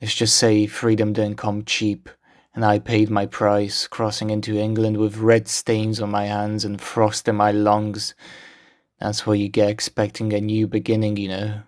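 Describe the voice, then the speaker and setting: A man playing a world-weary, guarded lieutenant at sea after the apocalypse, telling his story in a brooding, deliberate way. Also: lowish voice, monotone, lightly acted. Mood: guarded, haunted. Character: world-weary, guarded male post-apocalyptic seafaring lieutenant, haunted survivor. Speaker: narrating game character. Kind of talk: scripted narration.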